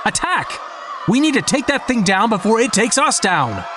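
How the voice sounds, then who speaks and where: A man's voice: sits high, big pitch swings, moderate pace, crowd noise, background noise. A man playing a brash, impatient young squad captain in an audio drama, speaking in a curt, commanding way. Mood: urgent, commanding.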